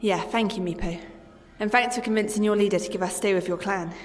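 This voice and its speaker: A woman's voice: medium pitch, fairly quick, natural voice. Level-headed adventurer played by a woman in reverb. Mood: composed. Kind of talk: fantasy audio drama acting. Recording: long reverb, music behind.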